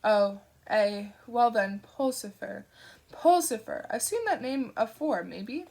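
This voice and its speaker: A woman voicing a gruff, suspicious old male witch-hunter with a rumbling voice and a wandering British regional accent, blustering and testy. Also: expressive, slow pace, a little mellow, full character voice. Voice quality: rumbling, growling. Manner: blustering, testy, booms then trails off.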